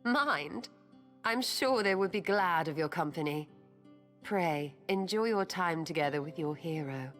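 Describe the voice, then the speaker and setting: An actress playing a composed, scholarly woman in a fantasy game, speaking in a measured, formal and earnest way. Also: lowish voice, lively intonation, fairly bright tone. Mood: warm, courteous. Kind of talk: scripted fantasy game dialogue. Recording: music clearly behind the voice. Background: game music.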